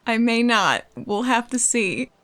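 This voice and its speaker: A woman's voice: fairly high pitch, big pitch swings, measured pace, fairly bright tone. A woman chatting casually as a player in a tabletop roleplaying game, describing what her character does. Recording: voice over background music.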